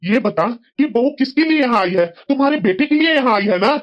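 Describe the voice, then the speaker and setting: A woman's voice: a little high, animated, a little fast, dull audio, lightly acted. A woman voicing a sensible middle-aged husband in a family moral-story cartoon, scolding and lecturing reproachfully. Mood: admonishing.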